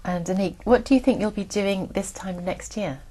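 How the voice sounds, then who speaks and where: A woman acting as examiner in a speaking exam, giving formal, measured instructions. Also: medium pitch, mellow, rough recording. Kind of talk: scripted exam prompts.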